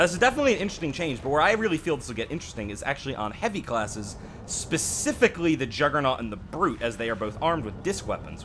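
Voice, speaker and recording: A man's voice: medium pitch, fairly bright tone, a little hiss or hum. A man hosting a gaming channel, giving casual update commentary in an opinionated, emphatic, conversational way.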